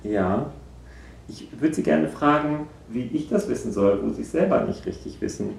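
A man's voice: medium pitch, expressive, moderate pace, vocal fry, darkish tone, reverberant, slight background noise. An actor playing a psychologist in a naturalistic radio drama, hesitantly probing and repeating back what he is told. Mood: detached, probing. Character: evasive male psychologist, soft, probing, echoing every answer. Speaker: psychologist conducting an assessment.

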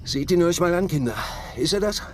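A man's voice: sits high, animated, fairly quick, noisy background. An actor playing a small-town father in a radio crime drama, restrained but anxious as he questions and decides. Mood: stern. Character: small-town father, hesitant but set on confronting a stranger.

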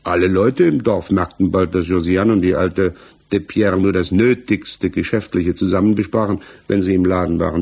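A man's voice: low-pitched, a little mellow, breathy edge. An actor playing a defensive, hesitant married village tradesman under questioning in a 1960s German radio play, searching for his words. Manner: halting, searching for words, evasive.

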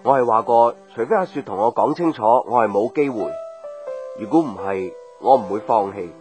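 A man's voice: mid-range voice, lively intonation, moderate pace, a little mellow, close to an everyday voice. An actor playing a patient, devoted young man in love, earnest and reflective, in a Hong Kong Cantonese radio drama. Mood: melancholy, reflective. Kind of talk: radio drama dialogue and inner monologue. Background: background music.

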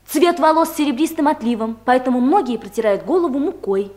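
A young woman, a brisk secretary in a radio comedy, pitched fairly high and swooping in melody. She instructs with blunt, emphatic self-assurance. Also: lively intonation, darkish tone. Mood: confident, lecturing. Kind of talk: 1970s Soviet radio comedy acting.

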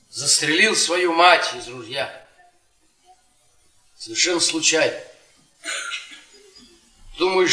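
Bitter middle-aged academic, radio theatre, sharp forward tone, theatrical deliberate phrasing, echoey distant recording. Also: male voice, a little high. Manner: theatrical, deliberate storytelling with trailing repetitions. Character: bitter, worn-down middle-aged history professor, sardonic storyteller. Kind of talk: Soviet radio theatre acting, dramatic monologue. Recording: roomy stage-like echo, distant from microphone.

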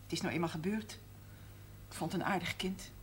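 A woman's voice: on the low side, moderate pace, lightly acted. An actress playing a worried, weary middle-aged farmer's widow and mother in a television period drama, plain-spoken and stubborn. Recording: faint noise, old television soundtrack.

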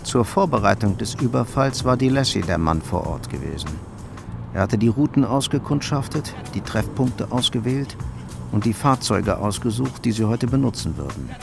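A man's voice: medium pitch, animated, moderate pace, gravelly. A man narrating a crime radio play in a scripted, literary style. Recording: music under the voice. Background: music.

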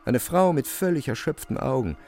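A man narrating a literary radio play in a measured, deliberate storytelling manner. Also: mid-range voice, expressive, dark tone, soft background music.

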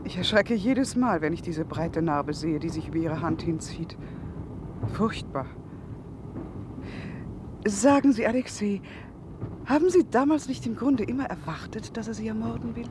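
Female role, played by an actress: candid, ironic confidante, animated and pointed, noisy vintage radio drama. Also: medium pitch, moderate pace, pauses often, close to an everyday voice. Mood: uneasy, probing. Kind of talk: 1960s German radio play acting.

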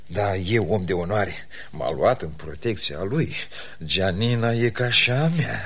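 An actor playing a grumbling, lovestruck tavern keeper in a theatrical radio comedy, thinking aloud and calling out. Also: male voice, on the low side, animated, a bit bright, breathy edge, exaggerated voice. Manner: muttering to himself, then calling out.

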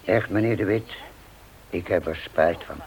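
A sheepish working-class Dutchman in a radio play. His voice is deep and subdued, and he speaks haltingly, like someone caught out. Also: a little mellow, rough recording. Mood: contrite, meek. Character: sheepish, hesitant working-class family man caught pilfering.

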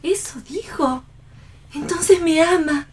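Una actriz interpreta a una hija joven, inocente e idealista, dolida por amor, con tono serio de radioteatro. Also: female voice, very high-pitched, takes it slowly, a little mellow, recorded from a distance. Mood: hurt reproach. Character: wounded, idealistic innocent young daughter, romantic rival. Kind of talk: theatrical radio play reading.

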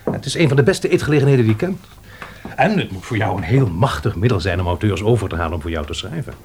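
Animated, genial scholar, noisy recording. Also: male voice, fairly low pitch, fast talker. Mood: teasing. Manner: effusive, hesitant, chuckling. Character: genial, reluctant scholar who loves fine food. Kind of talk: naturalistic Dutch radio play acting.

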